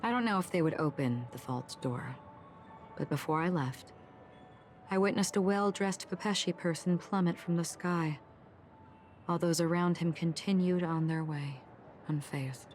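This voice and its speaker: An actress playing a melancholy, forgetful swordswoman in a game, speaking in a measured, soft and reflective way. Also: fairly low pitch, a little hiss or hum, close to an everyday voice. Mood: calm, descriptive. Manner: measured, solemn, soft-spoken. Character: melancholy, forgetful swordswoman, calm and enigmatic wanderer. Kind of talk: scripted game dialogue, reflective storytelling.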